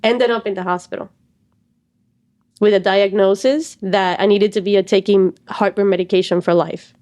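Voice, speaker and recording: A woman's voice: mid-range voice, fairly even intonation, moderate pace, darkish tone. A woman in her thirties from Cuba, a stress and anxiety coach, explaining her story earnestly as a podcast guest. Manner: earnest, explains at length with fillers. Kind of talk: reflective interview talk.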